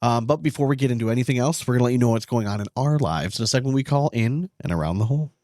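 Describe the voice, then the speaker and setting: Fast-talking man hosting a podcast. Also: medium pitch, over a noisy crowd. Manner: profane, slangy, bro-ish talk. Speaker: podcast host. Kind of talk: casual sports banter.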